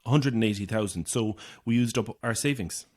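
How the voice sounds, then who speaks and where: A man's voice: fairly low pitch, fairly quick, a little mellow, natural voice. An actor playing a lonely, weary Irish man in his thirties in a naturalistic audio horror drama, polite, hesitant and dry in manner. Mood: subdued, explanatory. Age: 30s.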